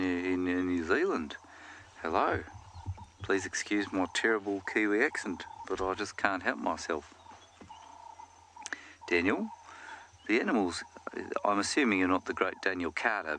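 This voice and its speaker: A man guiding a live game drive, calmly explaining the animals to viewers from outdoors in the bush. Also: deep voice, a little hiss or hum. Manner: calm, explanatory, speaks directly to viewers. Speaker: safari guide.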